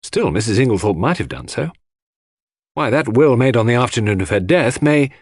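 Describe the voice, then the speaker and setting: A man voicing an earnest, puzzled gentleman, a detective's trusting friend, with an eager, questioning manner. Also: mid-range voice, sing-song, moderate pace, slightly rough, no room sound. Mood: doubtful.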